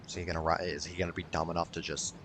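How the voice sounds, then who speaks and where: A man's voice: sits low, little pitch movement, rapid-fire. A man giving casual commentary as he plays a video game, grumbling sardonically and swearing freely. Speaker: gaming video host. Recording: noticeable background noise.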